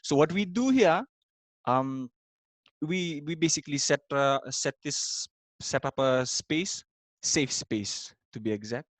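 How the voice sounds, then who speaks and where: A man's voice: medium pitch, no room sound. A man informally welcoming people as host of an online discussion, searching for his words. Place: online video meeting.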